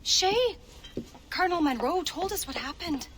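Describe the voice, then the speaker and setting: A woman's voice: very high-pitched, animated, unhurried. An actress playing a kindly, motherly older colonist woman in a video game drama. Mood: grateful. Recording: loud music behind.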